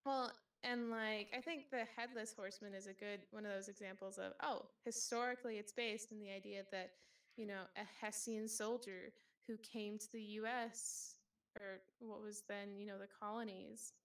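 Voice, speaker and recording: A woman chatting casually on a podcast, often pausing to think aloud as she explains. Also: mid-range voice, steady tone, distant mic. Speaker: podcast co-host. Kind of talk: casual podcast discussion of folktales. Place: remote online group call.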